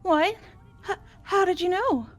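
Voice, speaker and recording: A woman's voice: very high voice, a little slow, soft background music. A woman playing a small, timid, flustered teenage student in game dialogue, hesitant and nervous. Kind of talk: fan dub of visual novel game dialogue.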